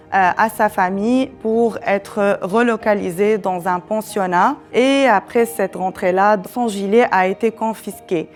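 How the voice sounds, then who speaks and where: A woman gives an explanatory presentation as an educator, measured and a little hesitant. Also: medium pitch. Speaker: human rights education coordinator and presenter.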